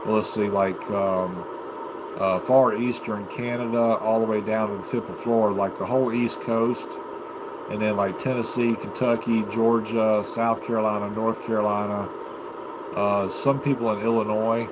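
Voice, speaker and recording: An American man chatting casually on a livestream, rambling in a halting, unhurried way as he thinks aloud. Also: lowish voice. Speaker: amateur livestreamer and CB radio hobbyist. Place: home basement. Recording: loud steady background noise.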